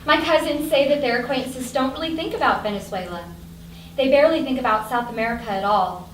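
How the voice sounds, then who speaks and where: Echoey room, off-mic, actress as wry female storyteller, fairly high voice, theatrical reading. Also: quick pace, fairly bright tone, lightly acted. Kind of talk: staged dramatic reading, monologue. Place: live staged reading indoors. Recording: distant, echoey room, faint noise.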